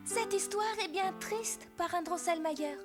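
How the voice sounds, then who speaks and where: A woman voicing a tender, earnest little girl in a French-dubbed cartoon, gentle and expressive. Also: extremely high pitch, a little slow, music in the background. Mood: sad, tender.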